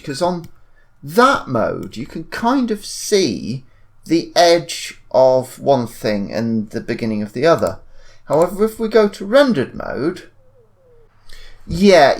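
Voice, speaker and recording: Casual man with lively, swooping pitch. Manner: informal, thinking aloud while demonstrating. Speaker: tutorial presenter. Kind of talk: casual software tutorial narration. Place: indoors at a computer, screen-recording voice-over.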